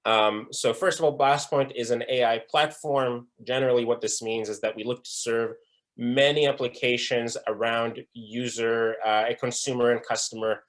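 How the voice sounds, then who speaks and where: A man's voice: medium pitch, steady tone, moderate pace. A young man from Israel giving a presentation at an online breakout session, earnest, with frequent fillers and restarts. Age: young adult. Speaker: conference speaker. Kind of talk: presentation talk.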